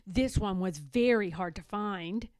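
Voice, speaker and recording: A woman's voice: mid-range voice, a little slow, a little mellow, smooth, no echo, studio quality, natural voice. A woman voicing a crafty, pushy young girl in a storybook, wheedling and insistent.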